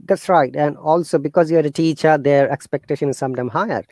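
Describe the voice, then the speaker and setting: Bangladeshi-accented man, earnest and halting. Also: a little high, no room sound, studio quality. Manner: earnest, animated, halting with fillers and restarts. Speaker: guest teacher. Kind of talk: casual interview talk.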